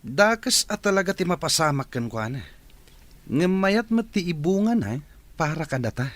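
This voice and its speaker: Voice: mid-range voice, very animated, moderate pace, no echo, lightly acted. An actor playing a smug, scheming partner in a radio drama.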